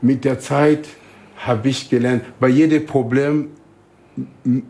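A man from East Africa, a guest musician in a radio interview, speaking German as a learned language, halting and searching for words. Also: mid-range voice, steady tone, creaky, mellow. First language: not German.

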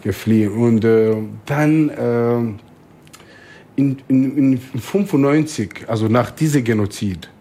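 A man from East Africa, a guest musician in a radio interview, speaking German as a learned language, halting and searching for words. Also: mid-range voice, warm tone. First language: not German.

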